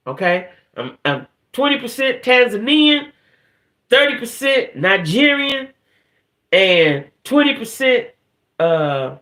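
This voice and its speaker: Female live-stream host, lower-register voice with very lively swings, playful, colloquial, rambling delivery, chatting casually with viewers. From northern United States. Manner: playful, rambling, colloquial, frequently checking in with "right?".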